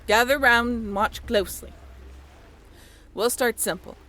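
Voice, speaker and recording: A woman's voice: fairly high pitch, unhurried, sharp tone, pitched below the performer's own voice. A woman playing a salty female bosun in a roleplay audio drama, talking in theatrical speech with a teasing, instructing manner. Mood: brisk, instructing. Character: salty female bosun of a haunted sailing ship.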